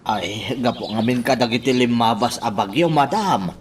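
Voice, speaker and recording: A man's voice: medium pitch, normal speed, soft background music, lightly acted. A man playing a meek, deferential worker who makes excuses in an Ilocano radio drama. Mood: meek, apologetic. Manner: deferential, hesitant. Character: meek male worker making excuses to his boss.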